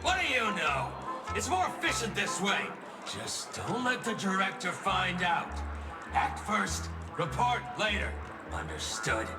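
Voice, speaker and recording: An overzealous corporate security grunt in a video game, officious and announcing. The voice is high for a man and echoey, with loud music behind it. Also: wildly swooping pitch, a little slow, full character voice. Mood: brash. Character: overzealous drunken male corporate security worker.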